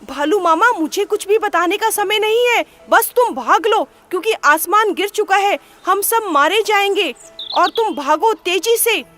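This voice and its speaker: A woman's voice: high voice, expressive, takes it slowly, fairly bright tone, faint music behind, heavily acted. A woman voicing a panicky young male rabbit in a storybook, talking in frantic, urgent bursts.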